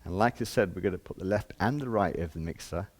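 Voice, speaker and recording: A man's voice: low-pitched, fast. A man giving an informal hands-on demonstration as a shop presenter, explaining step by step in plain, casual words.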